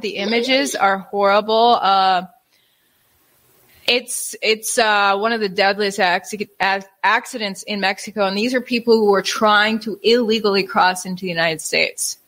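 A woman's voice: medium pitch, measured pace, a bit bright. A woman co-hosting a casual talk show, bringing up news stories and chatting with frequent fillers. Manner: conversational, with frequent fillers when speaking off the cuff. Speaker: co-host. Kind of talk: casual talk, reading a news story aloud.

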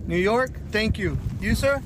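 A man's voice: high voice, expressive, measured pace. A man interviewing people in the field with short, repeated, polite questions, recorded outdoors. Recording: noisy outdoor field clip with traffic.

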